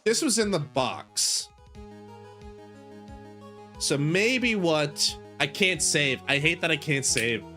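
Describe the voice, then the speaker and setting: A man's voice: fairly high pitch, animated, slow pace, long pauses, sharp tone, soft background music. A man casually commenting while playing a video game, joking and mocking himself. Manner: joking, self-mocking asides. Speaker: game streamer. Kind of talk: casual let's-play commentary.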